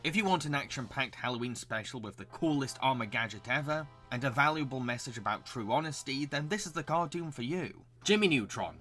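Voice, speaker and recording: A young man narrates a countdown-style review with enthusiasm. His voice is bright and forward, mid-pitched, over soft background music. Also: animated, a little fast, few pauses. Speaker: host and narrator of a cartoon recommendation video. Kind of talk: scripted review narration with casual asides.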